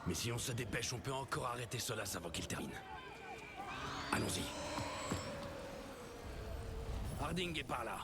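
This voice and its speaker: A man's voice: medium pitch, animated, brisk, very noisy, close to an everyday voice. Seasoned, wry male mentor played by an actor. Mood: urgent. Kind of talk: video game voice acting.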